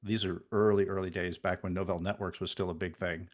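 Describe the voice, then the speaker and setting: A man in his fifties with a deep, low voice, originally from the Netherlands, chatting as a podcast guest. He rambles through his stories with frequent restarts and wry asides about himself. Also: level tone, fairly quick. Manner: rambling, many restarts and self-deprecating asides. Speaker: podcast guest, network engineer. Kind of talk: casual interview chat.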